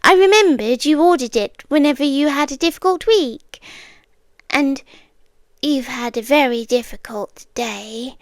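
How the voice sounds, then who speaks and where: Woman acting as obsessive British yandere girlfriend, ASMR roleplay, high voice, unhurried, intimate, often whispery, calmly possessive. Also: no room sound. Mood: devoted, intense.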